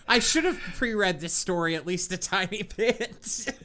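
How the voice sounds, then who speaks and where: A man co-hosting a comedy podcast, reading bad fanfiction aloud in a deadpan voice that keeps cracking into laughter. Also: sits high, slow. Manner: reads deadpan, breaks into laughter.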